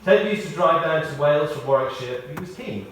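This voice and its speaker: Male eulogist, measured and drily humorous storyteller, reading a tribute in a very reverberant church, off-mic with faint noise. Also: fairly high pitch, animated, distant mic. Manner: measured storytelling with dry humour. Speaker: eulogist at a memorial service. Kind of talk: prepared spoken tribute, read aloud. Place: speaking in a large church.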